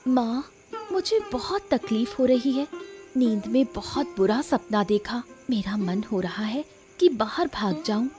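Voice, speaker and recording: A woman's voice: on the high side, animated, unhurried, darkish tone, soft background music. A woman voicing a distressed young daughter in a cartoon story, plaintive and insistent. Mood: troubled, yearning. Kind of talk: animated moral story dramatization.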